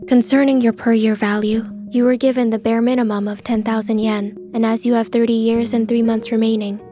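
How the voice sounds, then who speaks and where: A woman's voice: a little high, flat delivery, brisk, dark tone, close to an everyday voice. A woman playing a polite, detached clerk in a manga dub, speaking in a formal, businesslike way. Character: polite, detached female clerk at an appraisal office. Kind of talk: scripted manga dub acting. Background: background music.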